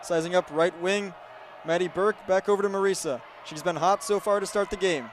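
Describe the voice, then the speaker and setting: A man's voice: high-pitched, a little fast, slight background noise. A man in his twenties with an American accent, working as a student sports reporter and play-by-play announcer. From United States. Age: 20s. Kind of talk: sports reporting, highlight reads and play-by-play commentary.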